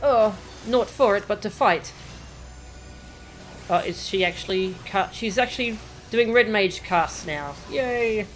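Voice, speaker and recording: A woman's voice: mid-range voice, slow pace, soft background music, poor audio. A woman doing casual live gameplay commentary in a chatty, playful way, often muttering to herself and her chat. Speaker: game streamer.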